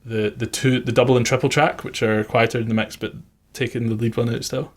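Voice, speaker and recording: A man's voice: medium pitch, flat delivery, brisk. A man working as a music producer, giving an informal, conversational tutorial on his production methods.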